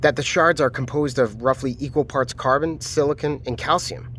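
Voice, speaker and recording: An actor playing a pragmatic submarine commander in an audio drama, measured, reasoning and placating. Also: male voice, medium pitch, steady tone, fairly bright tone, slight background noise, natural voice. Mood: dry, matter-of-fact.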